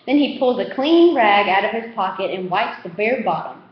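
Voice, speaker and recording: A woman giving an animated read-aloud of a children's novel as its narrator. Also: mid-range voice, normal speed. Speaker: reader. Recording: roomy echo, slightly dull sound.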